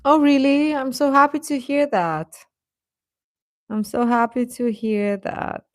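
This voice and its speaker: Woman doing a chatty livestream, talks kinda slow, repeats herself to the viewers, really dry sound no echo. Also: high voice, steady tone. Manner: chatty, reacting to viewers' comments with questions and repeated phrases. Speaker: livestream host. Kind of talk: casual livestream chat with viewers.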